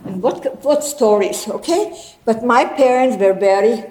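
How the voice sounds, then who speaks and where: A woman in her eighties whose first language is German, telling her life story to an audience in a rambling, lively way with many restarts. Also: fairly high pitch, unhurried, roomy sound, poor audio. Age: 80s. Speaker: guest speaker.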